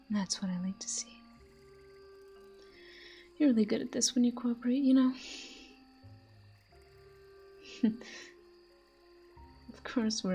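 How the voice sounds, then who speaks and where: A woman's voice: mid-range voice, a little mellow, natural voice. A woman playing a possessive, flirtatious fantasy villain in a roleplay audio drama, teasing and smug, speaking intimately to the listener. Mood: teasing, seductive.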